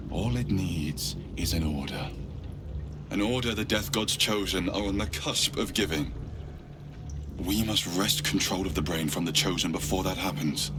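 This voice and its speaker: A learned guardian from a fantasy game. The voice is deep and set over clear background music. The delivery is solemn and formal, that of a grave mentor urging on a desperate quest. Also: male voice. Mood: urgent, resolute. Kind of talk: dramatic video game dialogue.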